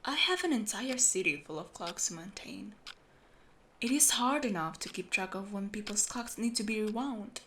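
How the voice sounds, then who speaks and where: A woman playing a meticulous, secretive steampunk clock repairer in an audio roleplay, explaining things patiently and in a measured way to the listener. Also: medium pitch, bright, forceful tone, slight background noise. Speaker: amateur voice actor.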